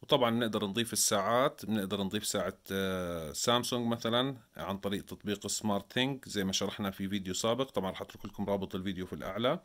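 Very fast-talking Levantine man, tutorial style. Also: fairly low pitch, fairly bright tone. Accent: Levantine Arabic. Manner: informal, step-by-step explaining. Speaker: tech tutorial presenter. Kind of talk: hands-on instructional explanation.

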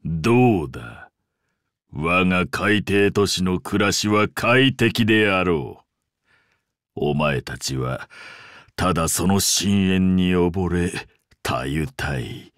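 A man voicing an ancient, imperious sea-god father in a Japanese game, speaking in a pompous, archaic and deliberate way with grand indulgence. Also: sits low, lively intonation, fairly bright tone, dry recording, studio quality, heavily acted. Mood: smug, coaxing. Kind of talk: Japanese game character voice acting.

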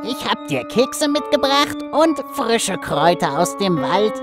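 Storybook wolf in disguise, played by a woman: falsely sweet, drawn-out, lively swoops, sly. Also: mid-range voice, music in the background.

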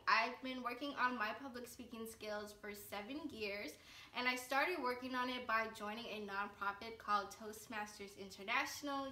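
A woman's voice: a little high, sharp tone, echoey room, far from the mic. A woman in her late twenties talking to camera in a casual, upbeat and earnest vlog from her living room couch. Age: 20s. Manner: upbeat, earnest, gestures with hands while talking. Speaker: vlogger.

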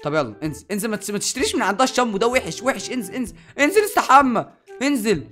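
A young man with an Egyptian accent commentating excitedly on Minecraft gameplay, animated and exclamatory as he addresses viewers. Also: high-pitched, rapid-fire. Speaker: gaming YouTuber and host. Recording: music playing behind the voice.

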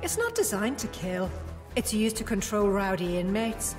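A woman playing a calm, firm alien prison librarian in an amateur audio drama. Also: mid-range voice, normal speed, lightly acted. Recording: reverberant hall with music.

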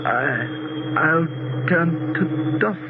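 A man playing a proud immortal prince stripped of his powers, halting and theatrical, in a 1970s radio drama. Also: on the high side, lively intonation. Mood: anguished. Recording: noisy, narrowband old radio recording.

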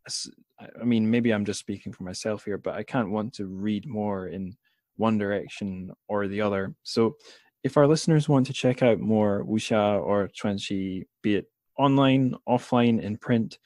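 A man with a fairly low voice hosts an interview, chatting casually as he puts questions to his guest. The sound is very dry, with no room echo. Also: a little mellow. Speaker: podcast host, interviewer. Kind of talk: casual conversational interview hosting.

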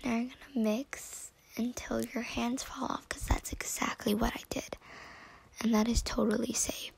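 Young woman, amateur cooking vlog voice-over; near-whisper, gravelly fry, airy tone, dry jokes about herself. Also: a little high, normal speed, poor audio. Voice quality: hushed and breathy, near-whispered. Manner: joking, deadpan self-mocking asides. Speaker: home cooking video host. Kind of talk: self-deprecating voice-over narration. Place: home kitchen.

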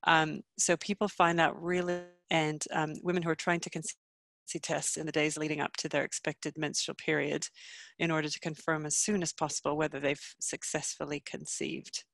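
A Canadian woman in her thirties talks through a medical webinar on a headset, friendly and full of ums. Her pitch stays fairly flat. The sound is dry and close. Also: moderate pace, vocal fry, dry recording. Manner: friendly, many ums, self-deprecating. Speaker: obstetrician giving a webinar. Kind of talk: medical lecture. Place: small home room, video call.

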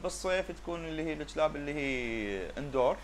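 A Kuwaiti man speaks as a guest in a conversational interview. His words come very quickly, often repeated for emphasis, in a voice a bit higher than most men's. There is faint noise behind him. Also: lively intonation. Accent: Kuwaiti Arabic. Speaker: dog breeder and importer, interview guest. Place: pet shop with glass animal enclosures. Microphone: lapel.

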